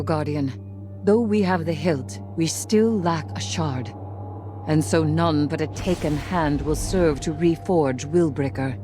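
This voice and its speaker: A woman's voice: deep voice, mellow. A woman playing a solemn, earnest alien mentor in a video game, speaking formally. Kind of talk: dramatic video game acting.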